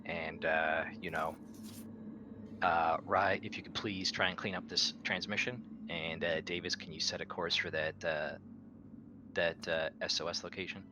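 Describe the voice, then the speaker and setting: A man playing a firm, dutiful space freighter captain in a tabletop game, authoritative but halting. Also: low-pitched, little pitch movement, background noise, natural voice. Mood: firm, commanding.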